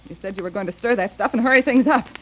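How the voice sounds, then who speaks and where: Actress as an impatient, scheming radio wife. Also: female voice, fairly high pitch, rapid-fire, natural voice. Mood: impatient, angry. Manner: snappy, scornful, demanding. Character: impatient, scheming, hard-hearted wife plotting murder. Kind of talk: 1930s radio acting. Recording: noisy old radio recording.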